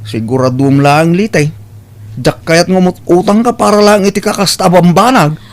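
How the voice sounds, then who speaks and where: A man's voice: high-pitched, normal speed. Man acting worried, lecturing Ilocano father. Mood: admonishing. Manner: questioning, lecturing. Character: concerned, admonishing father of a grown daughter. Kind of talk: Ilocano radio-style comedy drama.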